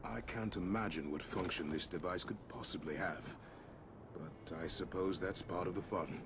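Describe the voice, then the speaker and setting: Dry sardonic soldier in a video game, deep voice, muttering thoughts, noisy ambience. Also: male voice, moderate pace. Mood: sardonic curiosity. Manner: dry, understated, musing. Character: dry, sardonic male soldier-adventurer examining strange devices. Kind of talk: scripted game dialogue, musing aloud.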